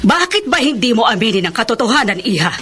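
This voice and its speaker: A woman's voice: fairly high pitch, very animated, quick pace, fairly bright tone, soft background music. A woman acting a concerned, reproving older aunt in a Filipino radio drama. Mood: reproving, pleading.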